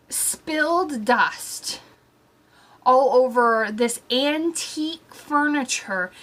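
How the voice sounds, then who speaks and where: A woman's voice: sits high, a bit bright. A young adult woman giving casual, rambling comic commentary in a mock-exasperated manner, leaning hard on certain words. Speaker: host of a comedic story-time show.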